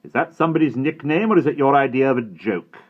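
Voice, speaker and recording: A man voicing a stern male school headmaster who questions a pupil in gruff, commanding tones, in a storybook reading. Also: medium pitch, lively intonation, fast, voice pushed up, close to an everyday voice. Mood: stern questioning. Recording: tinny phone-like sound.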